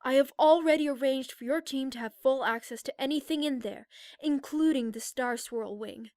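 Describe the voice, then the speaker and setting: A woman playing a grave, regal pony princess in an audio drama, speaking in a formal, measured, composed way. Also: high-pitched, darkish tone, no echo, clean studio sound, natural voice. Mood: firm, composed. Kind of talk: scripted audio drama acting.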